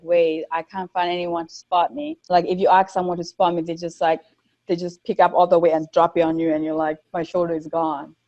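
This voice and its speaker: Brief: female podcast guest, non-native speaker, light Newfoundland accent, lowish voice, muffled lo-fi recording. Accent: Newfoundland English. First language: not English. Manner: soft-spoken, many fillers. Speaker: guest powerlifter. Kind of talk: casual interview chat. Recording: dull lo-fi sound.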